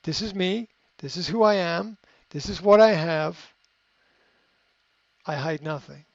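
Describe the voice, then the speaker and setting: A man speaking in a fairly high voice, coaching in an unscripted, reflective way. He pauses with fillers and restarts sentences as he thinks. The recording is dry and a little muffled. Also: vocal fry, no room sound. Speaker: coach answering a question. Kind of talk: unscripted advice talk.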